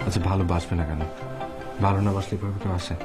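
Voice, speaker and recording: A man's voice: sits low, fairly even intonation, rapid-fire, natural voice. A man acting a casual, eager young boyfriend in a TV drama. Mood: defensive. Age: young adult. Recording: loud guitar music behind.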